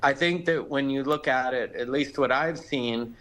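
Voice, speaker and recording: A man's voice: medium pitch, normal speed, fairly bright tone. A man with an American accent, a lawyer explaining things conversationally as a legal expert in a live interview.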